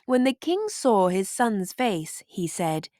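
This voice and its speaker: A woman narrating a children's storybook aloud in an expressive, deliberate way. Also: medium pitch, no room sound, studio quality.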